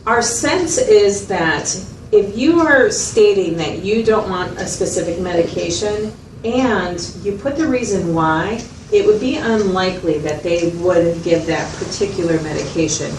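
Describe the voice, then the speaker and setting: A woman's voice: medium pitch, expressive, unhurried, hardly any pauses, close to an everyday voice. A woman playing a patient, methodical mental health facilitator who explains step by step and checks understanding in a dramatized consultation. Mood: patient, explanatory. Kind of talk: explanatory one-to-one consultation, role-played. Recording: roomy, distant mic, noisy room sound.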